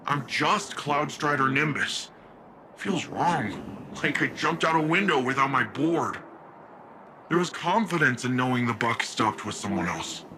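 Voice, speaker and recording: A youthful sci-fi rookie hero voiced by a man with a middling, expressive pitch. He sounds casual, candid and a little unsure, a conversational game character with clear noise around him. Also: close to an everyday voice. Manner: informal, candid. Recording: clear ambient noise behind.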